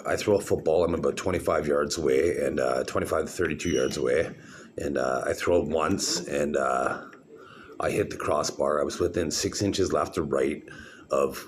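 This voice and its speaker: Very deep, gravelly-voiced middle-aged man. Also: noisy background. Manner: meandering, many fillers and restarts. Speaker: vlogger showing his own videos. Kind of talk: rambling casual monologue to camera.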